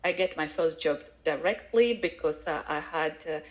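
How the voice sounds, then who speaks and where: A woman speaking non-native English with a foreign accent, a language teacher giving a personal account haltingly, searching for words. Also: sits low. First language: not English. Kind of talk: personal account at a live online event.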